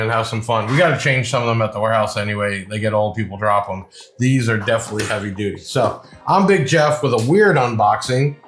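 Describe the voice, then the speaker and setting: An echoey room surrounds a man with a medium-pitched voice as music plays softly behind him. He presents products like a shop owner on camera, chatty and rambling. His delivery is informal, with an enthusiastic salesman's patter. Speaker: video host and shop seller presenting products. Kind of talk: casual unboxing review, talking to camera.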